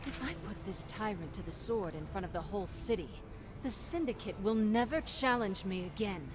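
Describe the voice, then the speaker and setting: An actress playing a tough, vengeful pirate captain in a video game, with a wry, hard-edged delivery. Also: female voice, mid-range voice, animated, moderate pace, background noise, lightly acted. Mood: vengeful resolve. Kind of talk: video game character acting.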